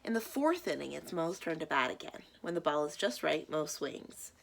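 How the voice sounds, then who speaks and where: A woman reading children's stories aloud as a library storytime reader, in a deliberate, expressive way. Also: deep voice, gravelly, a little hiss or hum.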